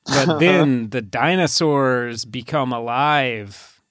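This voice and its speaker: A man's voice: mid-range voice, slow, a bit bright, no room sound, studio quality. A man co-hosting a podcast, chatting casually and joking dryly. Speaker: podcast co-host. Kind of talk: casual banter.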